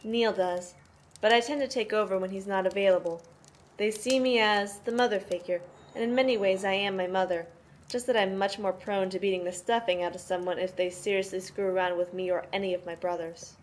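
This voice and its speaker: A woman's voice: medium pitch, fairly even intonation, brisk, lightly acted. Matter-of-fact, protective sister played by a woman, noisy recording. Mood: protective, firm. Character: protective, tough sister mothering five troubled orphaned brothers. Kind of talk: amateur audio play, in-character interview. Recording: noisy amateur home recording.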